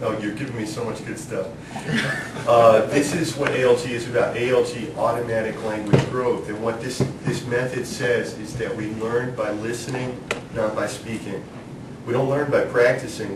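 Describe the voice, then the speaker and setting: A man with a fairly low voice gives a chatty, joking lecture and keeps turning questions to the class. He is heard in a roomy classroom from some distance, with a steady rumble underneath. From New York. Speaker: seminar leader. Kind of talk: informal lecture to students.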